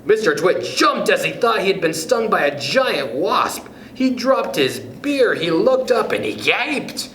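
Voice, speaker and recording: A man hosting storytime for children, reading aloud expressively with dramatic, deliberate phrasing and pauses. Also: high voice, very animated, fairly bright tone. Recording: echoey room with background noise.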